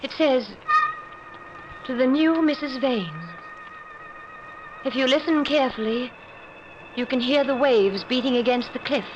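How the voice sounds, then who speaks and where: A woman's voice: high-pitched, unhurried, pauses often, dull audio. A woman playing an anxious, suspicious new wife in a 1940s radio drama, speaking hesitantly and faltering over her words. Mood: frightened. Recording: noisy old-time radio recording.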